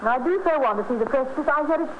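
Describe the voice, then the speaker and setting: An actress playing a devoted, genteel middle-aged bride in a vintage radio drama. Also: female voice, high-pitched, animated, fast. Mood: sweet, eager. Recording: muffled, noisy old radio recording.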